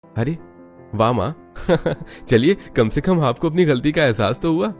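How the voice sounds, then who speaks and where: A man's voice: fairly high pitch, animated, unhurried, voice pushed up. A man voicing an eager, soothing grown son in a family story drama. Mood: surprised. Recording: music behind the voice.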